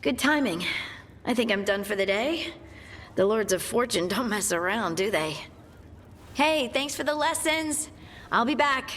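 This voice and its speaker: A woman's voice: on the high side, animated, measured pace, pauses often, a bit bright, slight background noise. A woman voicing an earnest, plain-spoken dwarf companion in a video game, informal and emotionally open. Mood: weary, friendly.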